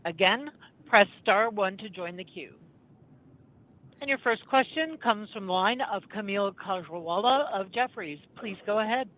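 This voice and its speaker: Lady call operator reading scripted instructions, formal, mid pitch, slightly muffled line. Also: lively intonation, normal speed. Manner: formal, procedural. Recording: slightly dull, band-limited call audio.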